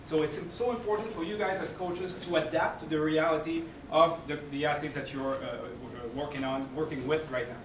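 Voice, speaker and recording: A man in his forties from Quebec City with a Québécois French accent, giving an energetic, chatty conference talk to coaches and often checking in with "okay?". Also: fairly high pitch, fairly quick, hardly any pauses, recorded from a distance. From Quebec City, Canada. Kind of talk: conference presentation. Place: dark conference hall, speaking in front of a screen. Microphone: room recording from a far camera. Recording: echoey hall, heard from afar, noisy.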